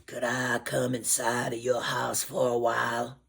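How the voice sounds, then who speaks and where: A storyteller voicing a big, sly, grinning male alligator with a low growl. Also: completely flat delivery, unhurried, creaky, fairly bright tone, husky, full character voice. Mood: sly, menacing.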